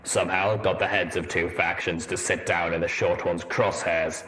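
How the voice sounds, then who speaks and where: A man voicing a stern, suspicious armored soldier pony with a deep rumbling voice and a wry, deadpan manner in a story reading. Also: moderate pace. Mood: stern, skeptical.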